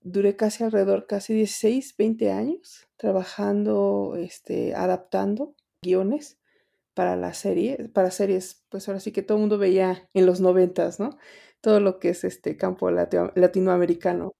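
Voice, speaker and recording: A woman's voice: medium pitch, little pitch movement, moderate pace, gravelly, dark tone. A middle-aged Mexican woman, an interpreter, answering interview questions in a rambling way with many fillers and restarts. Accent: Mexican Spanish. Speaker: interpreter and translator guest.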